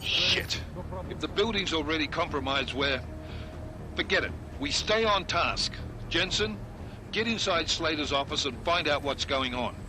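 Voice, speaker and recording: A man playing an urgent, brisk and commanding field team leader in a video game. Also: a little high, animated, low-quality recording. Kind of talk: video game cutscene acting. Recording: clear vehicle-like noise behind.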